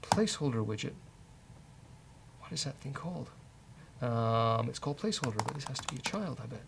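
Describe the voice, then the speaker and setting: A man's voice: medium pitch, lively intonation, a little fast, creaky. British guy talking thru code as he types, jokey, keyboard clicks in the back. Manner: jokey, thinks aloud. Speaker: host of a coding show. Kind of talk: casual live coding walkthrough. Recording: keyboard clatter and clicking noise. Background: typing and mouse clicks.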